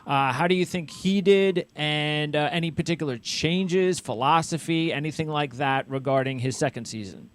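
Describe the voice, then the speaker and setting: A man hosting a casual sports interview, asking questions in a halting way and often rephrasing mid-sentence. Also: fairly high pitch, a bit bright, clear, resonant tone. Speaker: interviewer, sports show host.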